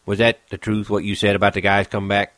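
A man voicing a bitter, guarded stable hand who speaks coldly and flatly. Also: on the low side, fast talker, no room sound, studio quality, close to an everyday voice. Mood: subdued. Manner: cold, then dull and flat.

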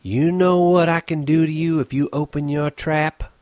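A man's voice: fairly high pitch, very animated, measured pace, fairly bright tone, no room sound, studio quality, pitched above the performer's own voice. A man putting on a scornful young ranch wife. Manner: taunting, cold.

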